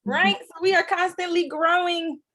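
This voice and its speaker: A woman's voice: very high voice, fairly even intonation, moderate pace, pushed and bright. A woman in her twenties hosting a live group call, chatting in an upbeat way and speaking straight to the audience. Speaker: host.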